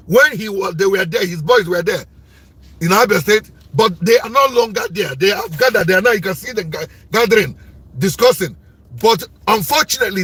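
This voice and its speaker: A loud Nigerian man holds forth on politics in a high, husky voice that keeps climbing as he presses each point. He repeats himself and drives his words home like a preacher. The sound is dry and close, with no room around it. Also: no echo. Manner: forceful, repetitive, preaching tone. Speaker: commentator. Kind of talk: impassioned political commentary.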